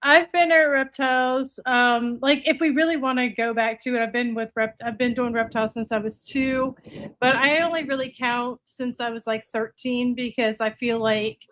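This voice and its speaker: A woman's voice: on the high side, steady tone, normal speed, a bit bright. A woman in her late forties with an American accent, chatting casually as an interview guest. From United States (Virginia, earlier Florida). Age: late 40s. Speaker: podcast guest, reptile breeder.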